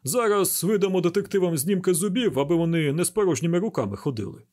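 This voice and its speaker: A man plays a businesslike police chief in an audiobook crime story. His delivery is direct and practical, in a raised, husky voice. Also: high voice, lively intonation, quick pace, darkish tone, voice pushed up. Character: businesslike male police chief running a briefing.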